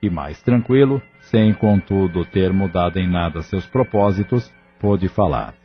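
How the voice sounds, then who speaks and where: A man's voice: sits low, measured pace. A man narrating an audio novel in a scripted, storytelling style. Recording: muffled lo-fi audio drama recording. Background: background music.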